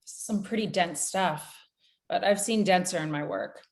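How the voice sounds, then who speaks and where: Low-voiced, streetwise woman sleuth played by an actress. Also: unhurried. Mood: knowing. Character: streetwise, sharp, bold female amateur investigator. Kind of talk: table read of a screenplay, acted dialogue.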